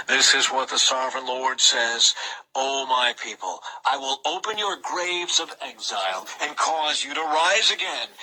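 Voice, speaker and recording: A man reads scripture aloud with a very bright, forceful voice. His delivery is solemn and emphatic, like a dramatic Bible narration, with music playing behind him. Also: medium pitch, rough recording. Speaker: scripture reader.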